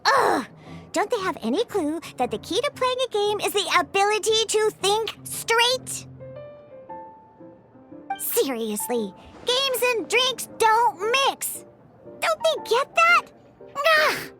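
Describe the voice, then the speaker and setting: A woman's voice: extremely high pitch, sing-song, slow pace, long pauses, over music, full character voice. A woman voicing a grumpy, sassy young cat-eared bartender girl who grumbles and sighs, in a game. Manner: grumbling, sighing, exasperated.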